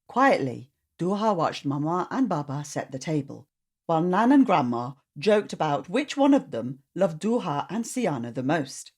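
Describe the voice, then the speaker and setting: Woman, children's story narrator, low-set voice, very lively intonation, a bit husky. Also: moderate pace. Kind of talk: expressive read-aloud narration for children.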